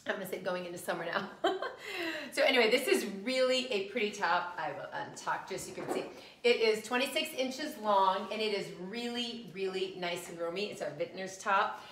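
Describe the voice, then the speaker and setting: A woman's voice: medium pitch, animated, a little fast, a bit bright. A woman in her forties co-hosting a live shopping show from a home kitchen, bubbly and gushing as she shows off clothes. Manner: bubbly and gushing, laughs easily. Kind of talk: casual live-stream chat and fashion pitch. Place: large home kitchen, indoors. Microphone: camera mic at a distance. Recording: echoey kitchen, faint background noise.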